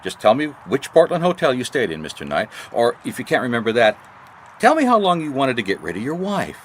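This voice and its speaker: An actor playing a shrewd, dogged private detective in a radio mystery, questioning people in a wry, seemingly casual way. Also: male voice, mid-range voice, huge pitch swings, fairly quick. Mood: accusing, wry. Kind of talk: radio mystery drama acting. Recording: noisy radio drama recording.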